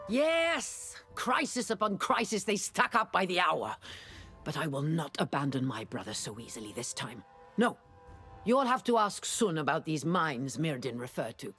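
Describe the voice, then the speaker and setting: A woman's voice: mid-range voice, fairly bright tone, breathy edge, full character voice. A woman playing a fierce, proud, devoted sister in a fantasy game, delivered in a dramatic, emphatic manner. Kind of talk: fantasy game cutscene acting.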